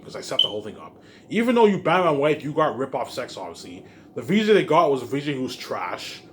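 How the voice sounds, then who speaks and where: A man rambling casually to viewers in an emphatic, repetitive, profane way, leaning on the same verbal tags. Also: mid-range voice. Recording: faint laundry-machine background noise.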